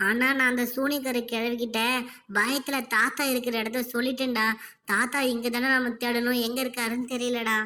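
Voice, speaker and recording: Woman doing a cartoon boy, high voice, bright tone, anxious, exasperated, scolding. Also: little pitch movement. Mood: worried. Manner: scolding, rapid complaining. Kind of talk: Tamil cartoon voice acting.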